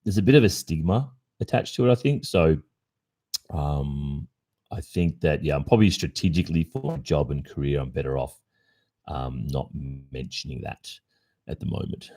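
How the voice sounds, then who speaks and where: An Australian man in his early forties with an exceptionally low voice. He chats informally on a livestream, answering listeners' questions. His delivery is thoughtful, with pauses and the occasional hesitation. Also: animated, mellow. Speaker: ASMR roleplay streamer.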